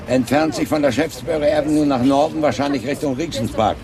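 An actor playing an unflappable, dry-humoured chief inspector in a German radio crime drama, speaking in a brisk, matter-of-fact way. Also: male voice, a little high, vocal fry, a crowd in the background. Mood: urgent, commanding.

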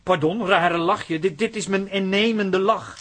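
A man's voice: high voice, measured pace, a bit bright. An actor playing a flustered, vain man in his forties in a radio drama, hesitant and spluttering in protest. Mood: fussy, self-important. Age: 40s. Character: flustered, vain middle-aged amateur photographer, easily talked round. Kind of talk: Dutch radio drama acting.

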